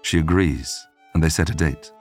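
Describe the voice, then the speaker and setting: Male podcast narrator, deep register, scripted documentary storytelling with measured phrasing, music bed behind. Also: gravelly, a little mellow.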